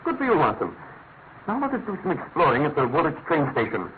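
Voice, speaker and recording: A British male detective in a classic radio mystery, brisk, probing and sure of his authority. His pitch moves in lively swings through clipped, deliberate questions. The sound is narrow as a telephone, with a faint layer of noise. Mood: brisk, keen. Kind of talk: classic radio drama acting. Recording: noisy, narrow-band old radio recording.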